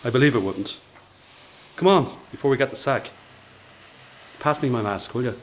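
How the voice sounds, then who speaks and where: An actor playing a self-assured, dry-witted factory worker with a Dublin accent in a radio play. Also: male voice, mid-range voice, normal speed, a little hiss or hum, lightly acted, poor audio. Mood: candid, wry. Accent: Irish, Dublin. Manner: wry, teasing, confident.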